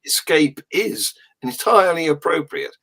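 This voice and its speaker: A man hosting a live-streamed talk show, brisk, plugging links and adding quick remarks. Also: fairly high pitch, expressive, fairly bright tone, slightly rough. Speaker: show host.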